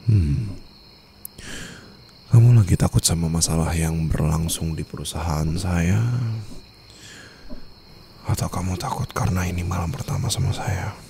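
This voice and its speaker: Casting: male actor as a domineering mafia boss for ASMR roleplay, extremely low and gravelly, cold, deliberate, teasing. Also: dark tone, a little hiss or hum, exaggerated voice. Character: cold, commanding young-to-middle-aged male mafia boss, teasing new husband. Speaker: roleplay voice performer.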